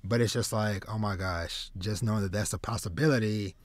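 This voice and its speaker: A man in his thirties hosts a casual podcast in a halting way, doubling back on his words. The sound is close and dry, with no room on it. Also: lowish voice, steady tone, no echo. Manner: halting, trailing, repeats words. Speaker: podcast host. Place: small home room with shelves, video call. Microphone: close desk mic.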